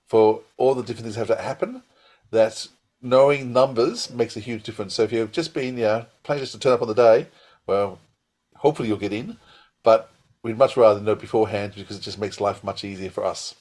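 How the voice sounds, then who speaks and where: A man's voice: on the low side, fairly quick, darkish tone. A man co-hosting a podcast in a chatty, explanatory, casual way. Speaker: podcast co-host. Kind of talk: casual chat.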